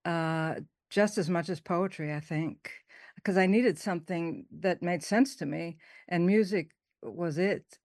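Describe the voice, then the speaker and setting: Quiet-voiced middle-aged woman, casual interview guest, halting and laughing, dry close recording. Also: lowish voice, no room sound. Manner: soft-spoken, laughs often, halting with many fillers. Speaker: poet and author, interview guest.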